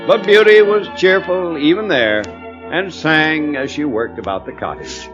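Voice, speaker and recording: A man's voice: fairly high pitch, measured pace, over music. A man hosting and telling stories on an old-time children's radio show. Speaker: host and storyteller of children's show. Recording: dull lo-fi old radio recording.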